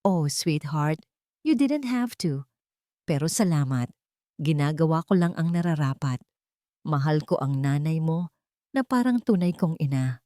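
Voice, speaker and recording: A woman's voice: low voice, animated, unhurried, long pauses, warm tone, no echo, studio quality. A woman voicing a haughty young wife and mother in an audio drama, mixing English and Tagalog with calculated control. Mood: falsely sweet. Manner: cold and hard, or sugary, mixing English and Tagalog.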